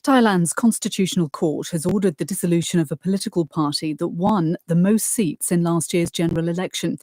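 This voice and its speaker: A woman's voice: fairly low pitch, dark tone, dry recording. A woman reading a scripted summary of international news.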